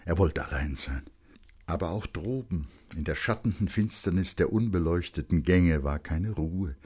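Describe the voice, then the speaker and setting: Male narrator for radio literature: low, husky voice, dramatic and expressive scripted reading with hushed shading. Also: moderate pace. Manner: expressive and dramatic, swelling in urgency and dropping to hushed tones. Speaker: narrator, audiobook reader.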